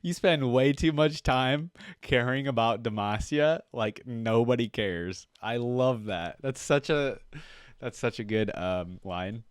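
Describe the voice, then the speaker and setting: A man's voice: medium pitch, slow pace, smooth, no echo, clean studio sound. A man hosting a reaction video, commenting casually in an amused, slangy, informal way.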